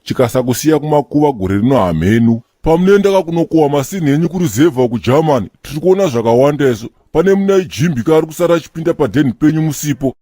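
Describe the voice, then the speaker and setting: A man's voice: on the high side, animated, racing, hardly any pauses, warm tone, no echo, clean studio sound. A man with a Zimbabwean accent voicing a sly, laid-back Rastaman in a comedy cartoon, slangy and mocking.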